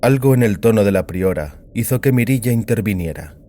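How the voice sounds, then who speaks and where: A man's voice: on the low side, lively intonation, fairly quick, warm tone. A man giving a scripted narration of a science fiction audiobook. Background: music.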